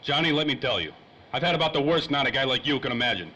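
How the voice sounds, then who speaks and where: A man's voice: medium pitch, animated, quick pace, bright, forceful tone, breathy edge, muffled recording. An actor playing a world-weary, wisecracking private eye in a 1930s radio play, full of slangy tough-guy talk. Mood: weary, pressing. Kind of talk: old-time radio drama acting. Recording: faintly noisy old-time radio recording.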